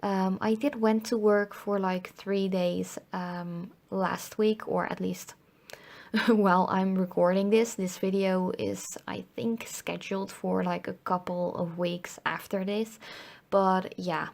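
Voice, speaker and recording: A young woman from the Netherlands, slightly hoarse after being ill, chatting casually through a voice-over tutorial with lots of "um" and "like". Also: mid-range voice, steady tone, slow, a little mellow. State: slightly hoarse, recovering from illness. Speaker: nail art video host.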